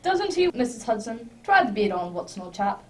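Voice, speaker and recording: A woman playing a wry, urbane English gentleman detective in a radio drama, teasing and precise in old-fashioned phrasing. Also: a little high, sing-song, a little fast. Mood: amused, teasing. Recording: distant microphone.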